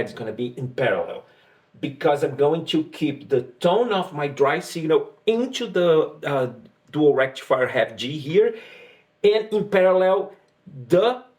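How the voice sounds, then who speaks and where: A man from Brazil with a Brazilian accent and Portuguese as his first language, chatting casually as he explains his guitar rig. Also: a little high. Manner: relaxed and chatty, with frequent fillers and restarts. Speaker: guitarist demoing gear. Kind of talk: casual gear demo talk.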